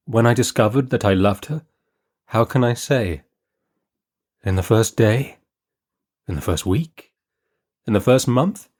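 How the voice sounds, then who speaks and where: A man reading a Victorian short story aloud as an audiobook, with a measured, earnest, formal delivery. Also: deep voice. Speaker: audiobook reader.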